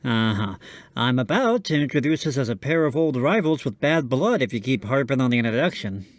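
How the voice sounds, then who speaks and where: A man's voice: mid-range voice, lively intonation, fairly quick, dry recording. A man hosting a radio show in scripted comedic banter, playing the polite, slightly hesitant straight man.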